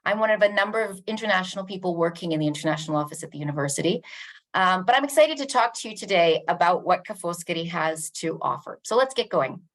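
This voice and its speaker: A woman from Canada who works in a university's international office, giving a measured webinar presentation with frequent ums and uhs. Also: lowish voice, animated.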